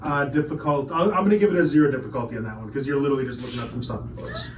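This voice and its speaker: A man's voice: medium pitch, steady tone, racing, crowd noise. A man running a tabletop game as game master, explaining rules casually and hesitantly. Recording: roomy, distant mic, noisy room.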